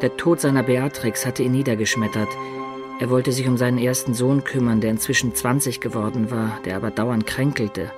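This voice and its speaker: An actor playing a wistful adventurer who recounts his life in a German radio play, in a measured, expressive storyteller's manner. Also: medium pitch, music in the background, lightly acted. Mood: reflective. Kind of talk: dramatic first-person narration in German radio play.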